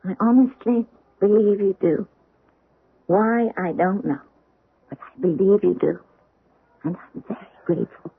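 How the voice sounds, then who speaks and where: A woman's voice: mid-range voice, measured pace, vocal fry, pauses often, slightly rough. A woman playing a troubled stage actress and dutiful daughter in a 1970s radio drama, speaking earnestly and theatrically. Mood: wistful, resolute. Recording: muffled old radio broadcast.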